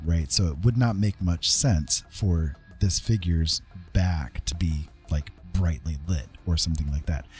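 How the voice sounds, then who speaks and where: Low-pitched man, mellow tone, casual tutorial chat, thinking aloud, faint music behind. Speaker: livestream host, digital artist.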